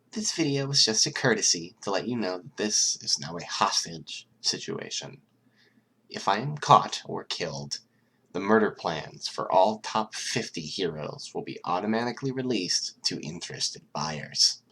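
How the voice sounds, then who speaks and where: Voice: low-pitched, expressive, a little slow, pushed and bright, husky, heavily acted, rough recording. A reader voicing a young male villain strategist who taunts his audience with mock cheer and cold menace. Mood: deadly serious. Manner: mock-cheerful, taunting, turning deadly serious.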